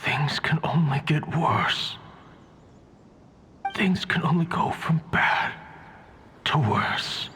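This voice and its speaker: An actor playing a brooding, ominous male voice with a grave, breathy delivery in a video game. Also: on the high side, a little hiss or hum. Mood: ominous, bleak. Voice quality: breathy, hushed. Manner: slow, grave. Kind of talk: video game character acting.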